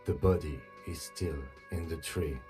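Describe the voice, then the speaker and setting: An actor playing a calm, restrained police lieutenant in a video game, speaking in a measured, formal, matter-of-fact way. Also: male voice, very deep voice, music in the background. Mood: measured, dry.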